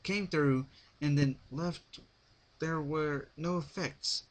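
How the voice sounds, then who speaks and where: A man's voice: fairly high pitch, steady tone, takes it slowly, pitched above the performer's own voice. A man voicing the stubborn, impatient human hero of a fan fiction story, blunt and argumentative. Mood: annoyed.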